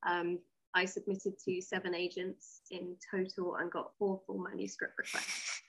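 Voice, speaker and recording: A woman's voice: fairly low pitch, little pitch movement, a little slow, creaky, a bit bright, slightly rough, rough recording. A woman talking candidly and with firm opinions as an interview guest, explaining things conversationally. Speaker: interview guest, sci-fi writer.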